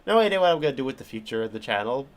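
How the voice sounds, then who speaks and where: A man's voice: medium pitch, big pitch swings, racing, background noise. A man giving casual live commentary as a game streamer, rambling and self-deprecating. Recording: livestream with faint game music.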